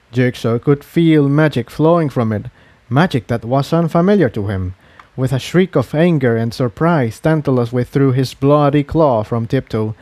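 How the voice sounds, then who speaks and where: A man's voice: mid-range voice, dark tone, no room sound. A man reading an adventure story aloud as a scripted dramatic narration. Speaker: fan fiction reader and narrator.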